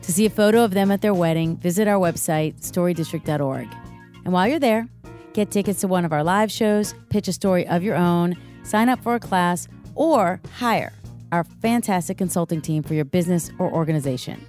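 A woman hosts a podcast in a conversational style. Her voice sits a little low for a woman, and there is faint music behind her. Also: a little fast, darkish tone. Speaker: podcast host. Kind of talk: conversational interview and show sign-off.

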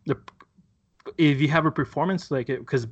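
Man, casual podcast chat, slightly high voice, halting, restarts a lot. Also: husky, lo-fi sound. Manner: rambling, halting with many restarts and fillers. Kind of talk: casual chat reviewing a TV show.

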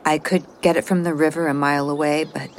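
An actress playing a frightened, lonely astronaut stranded on another world in an audio drama, speaking in a halting, intimate way. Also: female voice, deep voice, level tone, a little hiss or hum, lightly acted. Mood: weary, uneasy. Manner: halting, intimate, drifting into whispers. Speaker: mission specialist in an audio drama. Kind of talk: modern audio drama acting, recorded log monologue.

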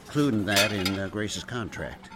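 A man's voice: on the low side, normal speed, heavy background noise, close to an everyday voice. A man playing a genial veteran bartender in a radio comedy, easygoing and teasing. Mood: joking. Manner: teasing, easygoing, calls women darling. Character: genial, joking, veteran male bartender, soft on strays. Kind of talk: radio comedy drama acting. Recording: crowd chatter behind the voice.

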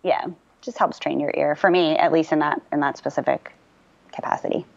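A woman voice actor chatting in an interview, lively and talkative with many fillers. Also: low-pitched, brisk, creaky, lo-fi sound. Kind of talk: casual interview chat.

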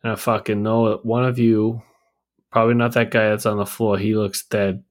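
A man's voice: lowish voice, level tone, moderate pace, close to an everyday voice. A man playing a brash, foul-mouthed time cop lieutenant with a Boston accent, swearing through every sentence in an improvised comedy scene. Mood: gruff. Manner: swears constantly, blunt and gruff.

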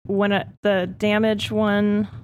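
A woman playing a tabletop game, describing her character in a playful, unhurried way. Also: mid-range voice, level tone, slight background noise.

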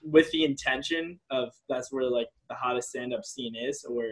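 A man's voice: mid-range voice, normal speed. A twenty-year-old man co-hosting a casual interview, talking bluntly and jokingly and swearing freely. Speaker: podcast co-host. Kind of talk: casual chat.

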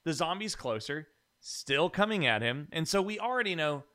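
A man's voice: fairly high pitch, sing-song, moderate pace, a crowd in the background. A man chatting casually on a podcast panel, critiquing films with a sarcastic manner. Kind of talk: casual film criticism chat.

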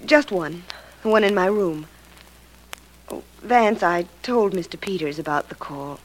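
An actress playing a frightened, trusting young stage star in danger in an old radio drama, anxious and dramatic. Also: female voice, lowish voice, measured pace, a little hiss or hum, natural voice, rough recording. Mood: fearful.

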